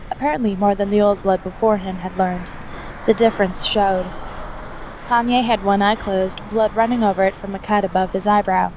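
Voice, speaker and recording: Female narrator for a hobby audio story, mid-pitched scripted read, with clear background noise. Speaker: fan fiction reader, narrator. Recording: noisy hobby recording, steady background noise.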